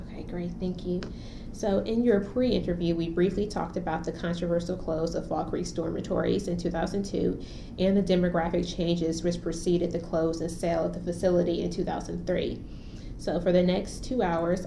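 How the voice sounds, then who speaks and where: A woman's voice: on the low side, vocal fry, warm tone. A woman conducting a formal oral history interview, reading a structured preamble before asking questions. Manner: reads a formal preamble, then short acknowledgments. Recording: roomy echo, faint noise.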